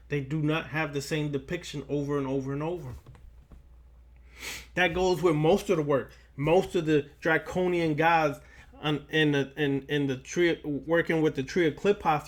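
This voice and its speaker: A man giving a spiritual lecture straight to his listeners, emphatic and repeating phrases for weight. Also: high voice. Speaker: occult lecturer.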